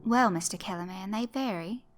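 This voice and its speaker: A woman's voice: mid-range voice, moderate pace, soft background music, lightly acted. A woman voicing a formal, ominously polite male master of games who speaks with precise courtesy.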